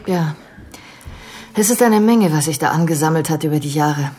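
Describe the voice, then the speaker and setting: An actress playing a composed female care professional in a radio drama, explaining a patient's case in a measured, analytical way. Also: sits low, darkish tone, faint music behind, close to an everyday voice. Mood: calm, matter-of-fact.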